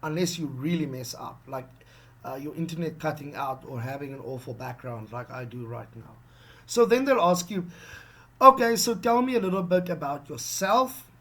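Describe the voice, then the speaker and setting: This is a husky, slightly higher-pitched man with an Afrikaans South African accent. He sounds middle-aged and coaches teachers in a casual, animated way, often stopping to ask "okay?". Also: normal speed. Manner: animated, repeats "okay?" to check in with the listener. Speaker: online English teacher. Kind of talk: casual video lesson.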